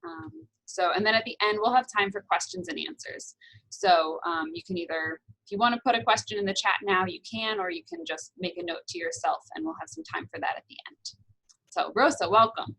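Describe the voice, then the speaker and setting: A woman's voice: medium pitch, very animated, brisk, creaky, pushed and bright, rough recording. A woman hosting a video call, introducing the speaker and explaining how questions will work. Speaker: meeting host.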